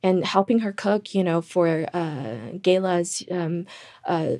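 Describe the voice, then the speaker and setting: A woman's voice: fairly low pitch, slow pace, warm tone. A middle-aged woman from Taiwan, a podcast guest talking earnestly about her family, with many fillers and restarts. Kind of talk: interview conversation.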